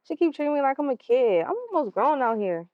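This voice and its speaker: A woman's voice: sits high, lively intonation, quick pace, no room sound, lo-fi sound. A woman with an American accent playing a defiant, sullen sixteen-year-old daughter in a stage play, blunt and sulky. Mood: resentful, guarded.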